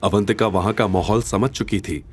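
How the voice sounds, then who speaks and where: A man narrating a scripted story in a dramatic, expressive and suspenseful manner. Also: lowish voice, moderate pace, slightly rough.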